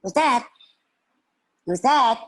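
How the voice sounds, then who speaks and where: A woman's voice: medium pitch, big pitch swings, takes it slowly, slightly rough, voice pushed up, heavily acted. A woman voicing a kind, bold little girl in a children's tale, coaxing and cooing affectionately. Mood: curious, caring.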